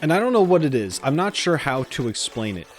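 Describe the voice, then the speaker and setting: A man's voice: a little high, big pitch swings, a little fast, soft background music. A man hosting a casual video game reaction, chatty and rambling with a self-deprecating way of talking.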